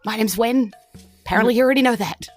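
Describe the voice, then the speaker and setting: A woman's voice: fairly high pitch, fast talker, faint music behind, natural voice. A woman with a New Zealand accent playing an evasive, forgetful male cat-person thief in a tabletop game, blunt and awkward. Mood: impatient. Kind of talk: improvised tabletop roleplay.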